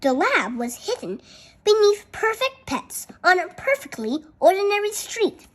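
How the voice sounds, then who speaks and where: Slow, high-pitched, lilting young storybook reader. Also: sing-song, fairly bright tone. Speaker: storyteller reading a picture book. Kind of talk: read-aloud storybook narration with casual asides on the pictures.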